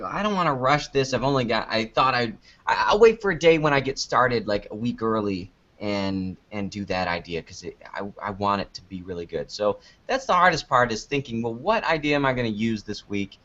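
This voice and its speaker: A man with a fairly low voice talks as an interview guest, rambling and joking at his own expense. The delivery is casual and unscripted. The sound is somewhat dull and lo-fi. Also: a bit bright. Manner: rambling, self-deprecating, jokey. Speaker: interview guest, online video maker.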